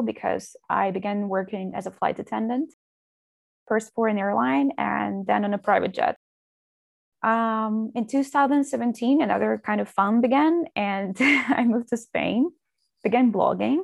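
A woman from Russia, a guest in a podcast interview who talks in a relaxed way, with fillers and light laughs. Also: fairly high pitch, normal speed, gravelly, dry recording. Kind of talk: casual interview chat.

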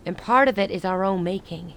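An actress playing a grave, resolute woman who leads a besieged rebel city in a radio play, speaking in measured, formal lines. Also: mid-range voice, fairly bright tone, a little hiss or hum, natural voice. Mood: resigned, resolute. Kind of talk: dramatic radio play dialogue.

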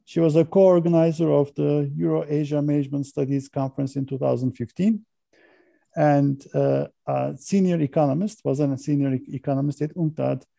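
A man's voice: fairly high pitch, flat delivery, normal speed, gravelly, warm tone, no room sound. A man hosting an interview, carefully reading out a guest's introduction and asking short questions, sometimes stumbling over words. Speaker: interview host.

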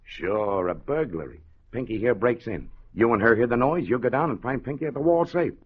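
An actor playing a tough, menacing crime boss in a 1940s radio crime drama, with streetwise, slangy, needling talk. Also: male voice, mid-range voice, expressive, fairly quick, slightly rough. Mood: hard, businesslike. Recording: muffled, thin old radio broadcast.

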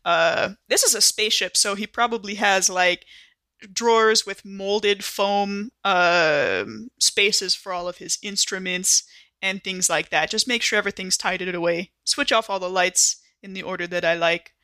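A woman plays a tabletop roleplaying game and describes her character casually, making it up as she goes. Her voice is bright and forward, recorded dry with hardly any room. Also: medium pitch, fairly even intonation, measured pace, dry recording.

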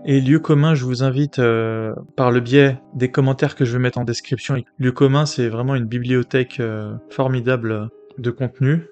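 A man with a mid-range voice introduces the episode as its host. His delivery is earnest and steady, broken by frequent hesitations, and faint guitar music plays behind him. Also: mid-range voice, normal speed, lo-fi sound.

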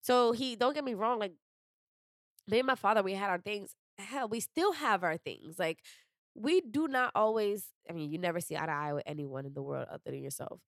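Young woman host talking real candid on a podcast, lowish voice, dry close mic. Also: no echo. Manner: candid, emphatic, repeats phrases for stress. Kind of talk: casual podcast chat.

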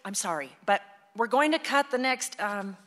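A woman playing a brisk, exasperated narrator who keeps a radio play moving, bossy and informal. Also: a little high, lively intonation, a bit bright. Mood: brisk, managing. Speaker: actor as narrator and moderator. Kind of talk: radio play addressing the audience. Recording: long reverberant hall sound.

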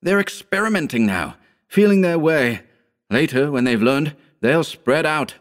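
A man's voice: fairly high pitch, sing-song, fairly quick, pitched above the performer's own voice. A man voicing an urgent, frightened man who takes desperate command in a dramatic horror audiobook. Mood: frantic warning. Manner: insistent, breathlessly urgent exclamations.

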